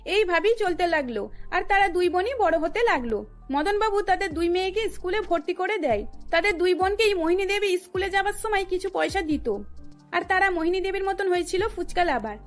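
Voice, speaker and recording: A woman with an extremely high voice narrates a scripted cartoon tale. Faint music runs beneath her storytelling. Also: animated, fairly quick.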